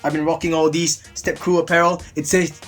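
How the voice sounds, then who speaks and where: A man's voice: fairly high pitch, level tone, fairly quick, a bit bright, faint music behind. A young man, hosting a casual product review vlog, chatty and upbeat with lots of repeated "really, really", filmed seated at a desk in a small room. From Singapore. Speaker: host of a toy blaster review channel.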